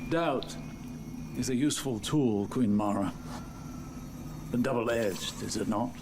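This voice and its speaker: An actor playing a cautious, scholarly male mystic in a game cutscene, calm and analytical in his delivery. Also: medium pitch, takes it slowly, background noise. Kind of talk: video game cutscene acting.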